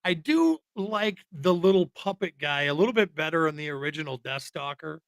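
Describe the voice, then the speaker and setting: A man's voice: fairly high pitch, animated, normal speed, creaky, no echo. A man co-hosting a podcast, talking casually about films in a rambling, informal and opinionated way. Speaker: podcast co-host. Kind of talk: casual film chat.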